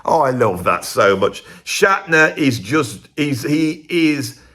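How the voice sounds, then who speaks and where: A middle-aged man hosting a music video channel, chatting in a rambling, digressive way and speaking higher than his natural voice. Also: slow. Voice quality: lively, swooping pitch. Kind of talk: rambling casual monologue.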